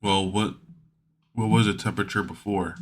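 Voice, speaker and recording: A man reading a visual novel aloud, acting out dialogue in an animated way and adding asides. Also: on the low side, moderate pace, slight background noise. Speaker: gamer playing a visual novel. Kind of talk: dramatic read-aloud of game text with asides. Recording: music behind the voice.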